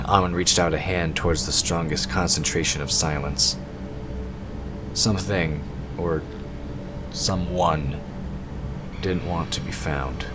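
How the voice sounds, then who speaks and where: A man reading scripted narration for a fantasy audio drama. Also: very deep voice. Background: forest ambience sound effects.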